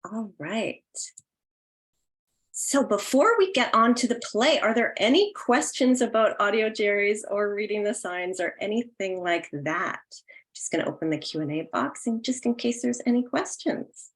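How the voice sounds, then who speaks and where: A woman's voice: mid-range voice, animated, moderate pace. Woman hosting a webinar, casual, walking people through things, mid voice. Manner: informal, instructional. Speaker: host. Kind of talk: casual online event hosting.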